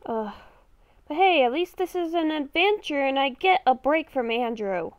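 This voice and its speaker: A woman playing a confused, exasperated young werewolf-vampire hybrid in an improvised game roleplay, thinking aloud in a halting, dramatic way. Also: high voice, expressive, dry recording. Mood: lost, puzzled. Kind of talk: improvised Minecraft roleplay narration while playing.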